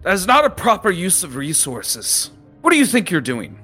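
A man playing a scornful, shrill sea captain. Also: wildly swooping pitch, moderate pace, breathy edge, soft background music, full character voice. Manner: scolding, shouted outbursts. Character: imperious, contemptuous male sea captain sorcerer.

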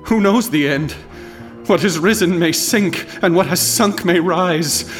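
An actor playing a doom-haunted scholar, delivering a solemn, portentous radio drama monologue. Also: male voice, high voice, a little slow, soft background music. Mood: rising dread. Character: doom-haunted scholar brooding on cosmic horror.

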